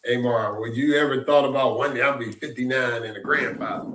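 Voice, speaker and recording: A man's voice: mid-range voice, fairly even intonation, a little fast, background noise. A man in his sixties hosting a casual reflective chat, rambling and repeating himself in an upbeat way. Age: 60s. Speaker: host. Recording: echoey room.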